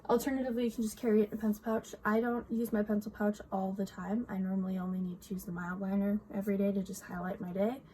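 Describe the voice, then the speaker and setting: Female vlogger, mild cold rasp, relaxed soft delivery, hesitant ums, faint room noise. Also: medium pitch, fast talker, hardly any pauses, poor audio. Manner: soft-spoken, frequent ums and small laughs. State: slightly scratchy from a cold. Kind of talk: casual journal walkthrough. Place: home room with outside noise.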